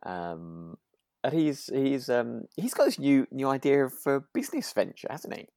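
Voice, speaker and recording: A man's voice: medium pitch, very animated, normal speed, a little mellow, no room sound, clean studio sound. A man co-hosting a podcast, chatting casually in a hesitant, agreeable way, often repeating words as he thinks. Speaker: podcast co-host. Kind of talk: casual discussion of a radio drama.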